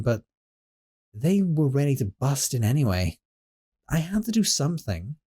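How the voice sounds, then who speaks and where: A man voicing a gentle, nervous young sorcerer roommate in an audio roleplay, talking in a soothing, hesitant way with stammers. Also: on the high side.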